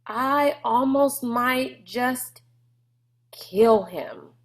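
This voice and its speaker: A woman voicing a gruff, boastful, bullying man in a storybook, with a folksy, mocking swagger. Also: fairly high pitch, drawn-out words, voice pushed up. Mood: blustering.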